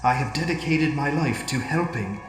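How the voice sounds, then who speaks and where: A man playing an ageing, regretful scientist in an audio drama monologue, speaking formally and broodingly with heavy pauses. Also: on the high side, animated, slight background noise, natural voice. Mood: proud, wistful. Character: ageing, regretful scientist fighting off an alien conditioning in his mind. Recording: echoey reverberant hall with music underneath. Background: music.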